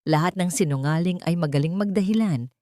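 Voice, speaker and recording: A woman's voice: on the low side, fairly quick, dark tone, no room sound, studio quality, close to an everyday voice. A woman voicing a scheming man who feigns sympathy for his cousin in a narrated drama. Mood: quick, dismissive. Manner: falsely sympathetic, persuasive.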